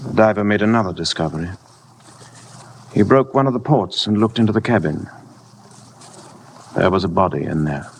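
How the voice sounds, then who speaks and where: An actor playing a tormented, fatalistic husband in a 1950s radio drama, speaking in a grave, weary, measured way. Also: male voice, on the low side, expressive, gravelly. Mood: grave confession. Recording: noisy old 1950 radio broadcast.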